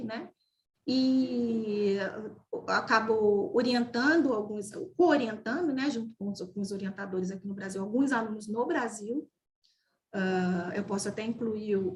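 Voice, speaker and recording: A woman's voice: mid-range voice, a little hiss or hum. A woman from Brazil giving an online mathematics lecture over a video call, talking with many fillers, repeated words and restarts. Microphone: video call microphone.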